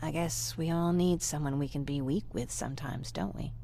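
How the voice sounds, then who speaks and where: Wistful young woman survivor, low pitch, vocal fry, protective, gentle, video game. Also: moderate pace, noisy background. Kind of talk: video game dialogue acting.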